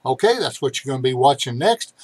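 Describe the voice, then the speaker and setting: A man's voice: mid-range voice, sing-song, a little fast, breathy edge, no echo. Older man, dry close sound. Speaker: vlog host. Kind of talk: casual explanatory talk to camera. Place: cluttered indoor room.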